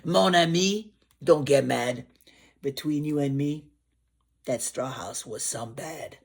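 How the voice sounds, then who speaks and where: A storyteller voicing a big, sly, grinning male alligator with a low growl. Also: slow, creaky. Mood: mocking.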